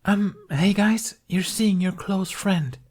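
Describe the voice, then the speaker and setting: Guy doing a fed up young woman acting as a king onstage, high strained voice, grand and declamatory, storybook reading. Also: takes it slowly, a little mellow. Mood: exasperated. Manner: strained, declamatory stage delivery. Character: exasperated young woman playing a theatrical King Arthur. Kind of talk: character voice in fan fiction reading.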